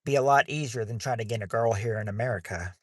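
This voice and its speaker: A man's voice: medium pitch, fast talker, no echo, clean studio sound. Rambling man hosting a game stream. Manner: rambling, restarts sentences often. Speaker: livestream game host. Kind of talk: casual chat while hosting a game.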